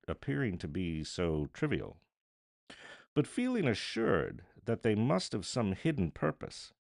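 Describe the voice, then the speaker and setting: A male storyteller reads Victorian fiction in a dramatic, measured way. His voice is deep and creaky, recorded close and dry, with expressive delivery. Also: no room sound. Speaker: voice artist reading aloud.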